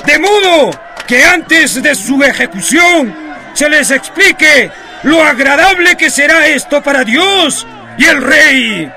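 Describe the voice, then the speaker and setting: A stern colonial official proclaims in a radio play. The voice is very high and sharp, shouted slowly and solemnly, over crowd noise. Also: male voice, lively intonation, heavily acted. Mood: stern, proclaiming. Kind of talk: radio drama acting, proclamation.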